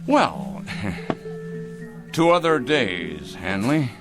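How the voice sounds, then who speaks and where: An actor playing a proud, neurotic middle-aged company boss in a radio drama, domineering yet halting and self-justifying. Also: male voice, mid-range voice, big pitch swings, gravelly, heavily acted. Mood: wistful, resentful. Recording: radio drama with music underneath.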